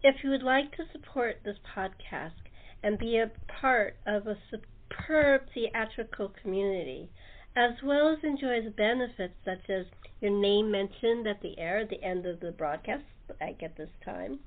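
Woman host reading credits. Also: medium pitch. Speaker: podcast host. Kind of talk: credits and sign-off. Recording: phone-like lo-fi.

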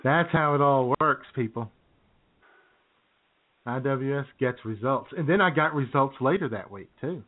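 A man's voice: medium pitch, measured pace, long pauses. A middle-aged man, co-hosting a radio comedy show and telling rambling stories in casual banter. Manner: chatty, rambling storyteller with chuckles. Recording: tinny phone-line sound.

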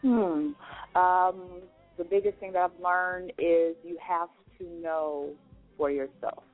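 A woman's voice: lowish voice, steady tone, takes it slowly. A woman singer answering interview questions, easygoing and a bit hesitant as she searches for words. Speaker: guest singer. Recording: tinny phone-line sound, faint music behind.

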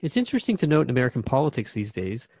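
A man's voice: mid-range voice, sing-song, rapid-fire, a little mellow, breathy edge, no room sound, studio quality. A man playing a thoughtful, curious radio host in a radio drama, questioning a spirit guide in a reflective, conversational way.

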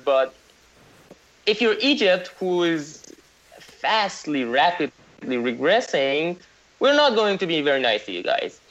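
A man from Iran, a podcast guest talking in a casual discussion, animated, with frequent restarts and fillers. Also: sits high. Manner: animated, many restarts and fillers, laughs mid-sentence. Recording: dull, slightly lo-fi remote audio.

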